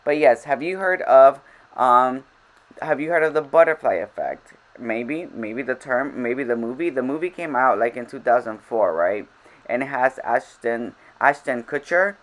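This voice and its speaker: A woman's voice: a bass voice, measured pace. Chatty, rambling woman with Spanish first language. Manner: rambling, self-interrupting, chatty tangents. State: a little frazzled. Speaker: game vlogger. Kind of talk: casual voiceover over gameplay.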